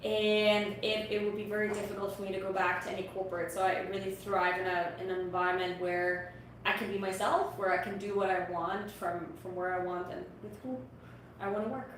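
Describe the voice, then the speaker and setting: A woman's voice: medium pitch, steady tone, a little fast. A young woman from Austria whose first language is German, talking earnestly as an interview guest and often repeating words as she starts a sentence. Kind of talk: casual interview talk. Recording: distant echoey mic with faint noise.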